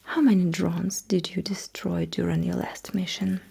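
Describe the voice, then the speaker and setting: A woman performing a sci-fi ASMR roleplay in a breathy whisper, as a courteous, dryly witty alien mecha technician with a measured manner. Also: on the low side, level tone, dark tone, a little hiss or hum.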